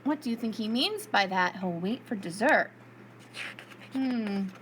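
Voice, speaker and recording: A woman reading a storybook aloud to preschool children in an expressive storyteller's style. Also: mid-range voice, measured pace, slight background noise.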